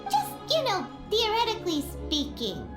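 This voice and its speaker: A very high, childlike fairy companion from a video game, bubbly and excitable. The delivery is unhurried and highly animated, full of exclamation. The sound is roomy, with music playing behind. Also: female voice, exaggerated voice. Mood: sheepish. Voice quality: squeaky, childlike. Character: small chipper childlike flying fairy companion, curious and excitable.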